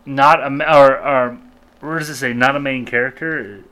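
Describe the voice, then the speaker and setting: A man's voice: medium pitch, fairly even intonation, normal speed, bright, forceful tone, poor audio. A man chatting casually as a podcast co-host, opinionated and emphatic in an exasperated way. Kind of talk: casual opinionated group chat.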